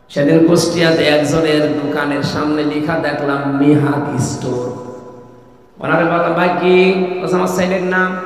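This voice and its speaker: A man from Bangladesh with a Bangladeshi accent preaching an Islamic sermon, emphatically prompting his listeners with questions. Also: fairly high pitch. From Kushtia, Bangladesh. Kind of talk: waz sermon to a congregation. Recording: echoey hall with long reverb.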